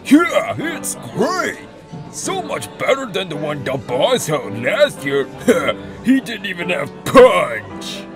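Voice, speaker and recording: A man's voice: high-pitched, huge pitch swings, takes it slowly, music in the background, exaggerated voice. A man voicing a big, dim, eager albatross henchman with a goofy manner in an audio drama. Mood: excited, gleeful. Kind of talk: amateur audio drama acting.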